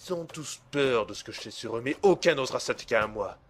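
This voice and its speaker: A man playing a cold, menacing blackmailer in an audio drama, speaking in a smooth, condescending and formal way. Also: medium pitch, expressive, fast talker, slight background noise, natural voice. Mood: menacing, contemptuous. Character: cold, menacing male blackmailer and hacker, smugly in control. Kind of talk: amateur audio drama acting.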